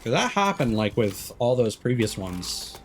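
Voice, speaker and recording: A man's voice: medium pitch, sing-song, unhurried, a little hiss or hum. A man streaming a video game with casual, musing and mildly critical live commentary. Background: video game music.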